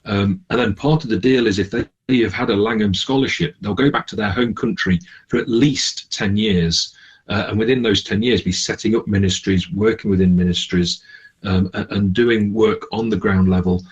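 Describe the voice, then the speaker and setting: A man speaking as a radio interview guest, explaining things in a halting, conversational way. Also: on the low side, fairly even intonation, breathy edge, lo-fi sound. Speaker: interview guest, charity manager.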